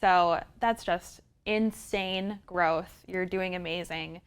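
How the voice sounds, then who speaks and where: A woman's voice: on the low side, steady tone, slow pace, smooth. A woman hosting an interview, asking questions and affirming her guest's answers. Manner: encouraging, affirming. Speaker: interview host.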